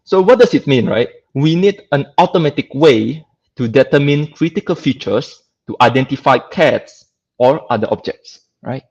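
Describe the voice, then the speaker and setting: A man's voice: mid-range voice, expressive, normal speed, darkish tone, husky. Singaporean-accented man lecturing, dull lo-fi audio. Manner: conversational, peppered with "like" and checking "right?". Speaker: guest speaker, lecturer. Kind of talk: informal online technical lecture.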